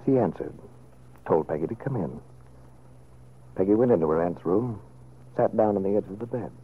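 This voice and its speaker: A man narrating a vintage radio serial from a script in a measured, dramatic way. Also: low voice, expressive, slightly rough. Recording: narrow, phone-like old radio recording.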